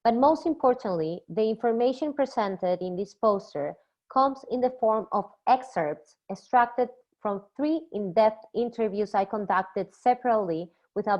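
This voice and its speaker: A young woman from Peru, a doctoral student, reading a measured academic poster presentation from a script. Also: mid-range voice. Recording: slightly dull lo-fi recording.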